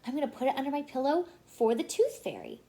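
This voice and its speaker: An eager little girl bear cub in a storybook, acted out by a woman reading aloud. The voice is high and bouncy, wide-eyed and excitable, with big swoops in pitch. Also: fast, full character voice.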